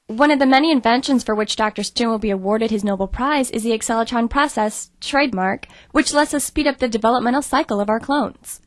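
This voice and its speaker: An actress playing an earnest, loyal lab researcher in a scripted audio drama, speaking formally. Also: female voice, a little high, quick pace, few pauses, dry recording, clean studio sound, lightly acted.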